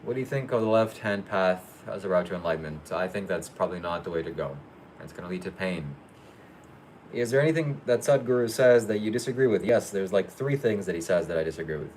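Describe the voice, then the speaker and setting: Man, livestream host, somewhat deep voice, reads questions aloud, short plain answers, low-key. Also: lively intonation, a little fast, a little mellow, a little hiss or hum, low-quality recording. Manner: matter-of-fact, low-key. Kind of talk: casual live Q&A.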